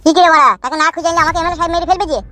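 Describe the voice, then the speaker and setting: An actor voicing a crude, sulky young cartoon husband with a rural West Bengal Bengali accent, defiant and swearing. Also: male voice, very high voice, steady tone, rapid-fire, very noisy. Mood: worried. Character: stubborn, crude young village husband and son. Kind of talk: comic cartoon dubbing.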